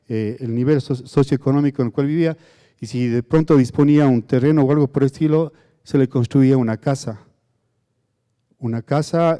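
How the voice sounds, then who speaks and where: Dark-voiced Ecuadorian man lecturing. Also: mid-range voice. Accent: Cuenca, Ecuador. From Azuay, southern Ecuador. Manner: halting, many "eh" fillers and restarts, asks "¿no es cierto?". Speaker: biomedical engineering lecturer. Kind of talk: conference lecture.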